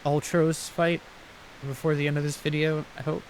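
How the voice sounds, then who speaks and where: A man's voice: a little high, little pitch movement, moderate pace, slight background noise, poor audio. Man casually commentating a video game. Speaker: gameplay commentator. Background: game music.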